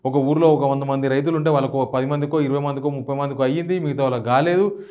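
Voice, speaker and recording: A man's voice: medium pitch, steady tone, rapid-fire, darkish tone, lo-fi sound. A man with a Telangana Telugu accent giving opinionated news commentary, firing off indignant rhetorical questions.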